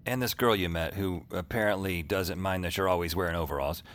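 An actor playing a wry, world-weary hotline worker, delivering slangy deadpan lines and narration in an audio drama. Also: male voice, deep voice, fairly quick. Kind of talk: audio drama dialogue and first-person narration. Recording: noisy ambience behind the voice.

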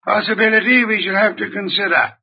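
An actor playing a pompous, deliberate, eccentric genius detective in an old radio drama, with formal, precise diction. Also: male voice, high voice, fairly even intonation, vocal fry, slightly rough, full character voice. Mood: measured, expository. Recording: muffled vintage radio recording.

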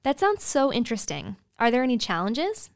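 A woman's voice: a little high, sing-song, moderate pace, vocal fry. A woman co-hosting a podcast, asking the guest's questions in an upbeat, scripted way. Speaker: podcast co-host.

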